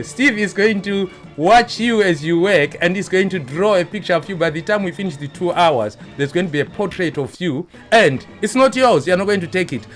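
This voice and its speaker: A man's voice: high voice, fairly bright tone. A man hosting a live online show, chatty and teasing as he greets viewers by name. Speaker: show host.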